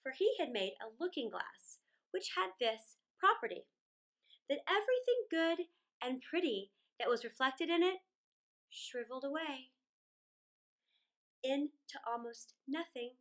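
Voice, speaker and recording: A middle-aged woman reading a children's story aloud in a theatrical, deliberate way, drawing out words with dramatic pauses. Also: sits high, sing-song, a bit bright. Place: small indoor room against a plain wall.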